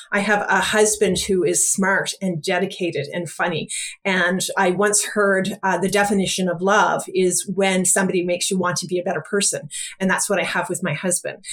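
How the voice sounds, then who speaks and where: A middle-aged woman guest on a podcast, speaking in a measured, reflective way. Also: on the low side. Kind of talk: casual interview chat.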